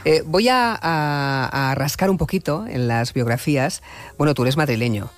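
A man hosting a late-night radio interview, speaking in a measured, reflective way and leading his guest with questions. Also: fairly high pitch, no room sound, soft background music. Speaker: radio host.

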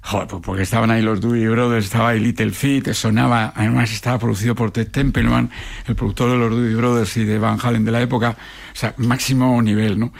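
Gravelly radio guy, rambly chatty host, mid pitch. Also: flat delivery, quick pace. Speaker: radio music show host. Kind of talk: conversational radio presenting.

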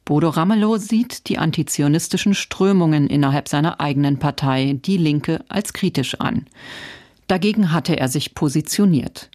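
A woman narrating a news report in a measured, even reporting voice. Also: low-pitched, no room sound, studio quality. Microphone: studio voice-over mic.

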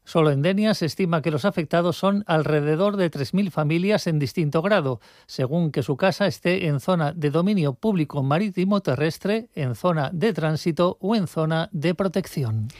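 A man's voice: a little high, moderate pace, few pauses, a little mellow, no echo, studio quality. A man with an accent from Spain delivering a scripted radio news report.

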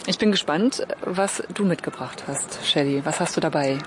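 A woman hosting a conversational interview, putting her questions in a tentative, softened way. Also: deep voice, normal speed, noisy background. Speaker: interviewer, host.

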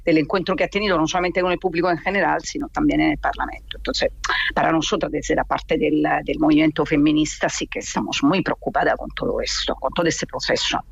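A woman with an Italian accent gives explanatory interview answers in a husky, gravelly voice. She speaks haltingly, pausing to find words, and the recording is dry and somewhat dull. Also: sits low, steady tone, no room sound. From Italy. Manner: halting, hesitant delivery with drawn-out pauses. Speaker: interview guest, feminist activist.

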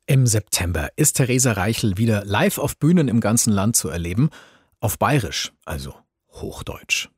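A man with a husky, raspy voice presenting a radio magazine as its host. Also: lowish voice, sing-song, moderate pace, no echo, studio quality.

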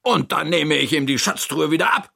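A man voicing an earnest, emotional child friend in a children's story, with an excitable manner. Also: a little high, fairly quick, vocal fry, dry recording, studio quality, pitched above the performer's own voice, heavily acted.

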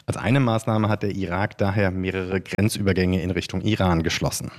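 A man's voice: deep voice, fairly even intonation, fairly quick. A man giving an explanatory news report as a radio correspondent.

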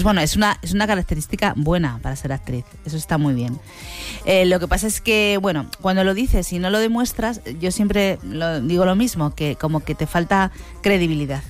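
Lady casting director on radio, calm, repeats herself, faint music behind. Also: deep voice. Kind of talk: casual studio chat.

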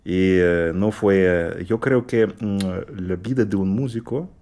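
A man with a Russian accent, speaking non-native Spanish in a radio interview, halting and searching for words. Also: low voice, dark tone. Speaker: guest pianist.

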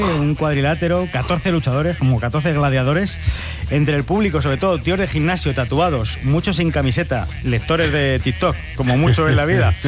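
Fast-talking sarcastic Spanish man. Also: a little high. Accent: Spain Spanish. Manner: sarcastic, joking asides. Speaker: host. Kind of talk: satirical news monologue.

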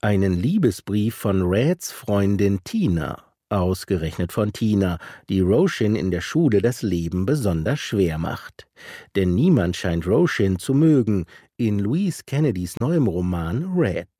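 Lively low-voiced man narrating a feature. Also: a little slow, dry recording, studio quality. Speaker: culture reporter.

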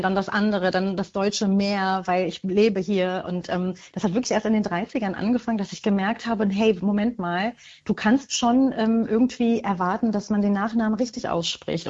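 A woman's voice: mid-range voice, darkish tone, dull audio. A middle-aged woman from Germany answering as an author in an interview, reflective and hesitant, repeating words for emphasis.

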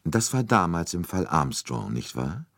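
A man voicing a calm, shrewd older male detective who speaks quietly and in a half-whisper. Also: low-pitched, a little slow, creaky, dry recording, clean studio sound, heavily acted.

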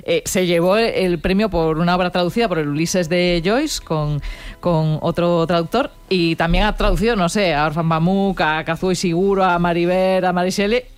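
A woman's voice: fairly low pitch, clean tone. A woman hosting an interview, asking long, winding questions with many fillers. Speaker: host.

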